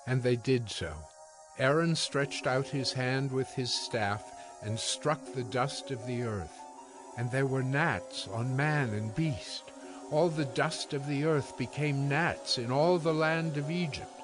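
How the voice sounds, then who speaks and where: A man's voice: mid-range voice, slow pace. Male scripture narrator over music. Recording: music bed behind the voice.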